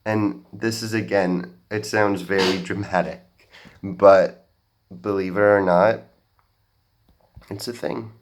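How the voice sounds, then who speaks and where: Young man, American-sounding accent, earnest stage talk, halting restarts, slow pace. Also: on the low side, steady tone. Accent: general American. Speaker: speaker at a talk. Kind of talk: public talk, personal story. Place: auditorium stage.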